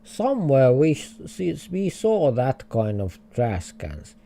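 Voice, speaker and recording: A man's voice: medium pitch, wildly swooping pitch, slow pace, vocal fry, dark tone. A man with a Finnish accent, streaming a geography game and thinking aloud in hesitant, chuckling English. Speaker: livestreamer. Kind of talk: casual game livestream commentary.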